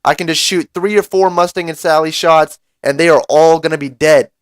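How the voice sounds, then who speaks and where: A young man with a raspy voice from a cold, giving casual strategy commentary over gameplay to his viewers. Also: a little high, fairly even intonation, a little fast, no echo, clean studio sound. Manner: casual, explanatory, addresses viewers as guys. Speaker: gaming YouTuber.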